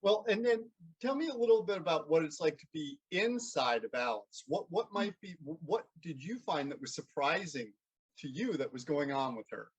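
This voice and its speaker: A man hosting a casual interview, asking questions in a halting way and repeating words as he forms them. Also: fairly high pitch, big pitch swings, husky, muffled recording. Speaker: interviewer, host.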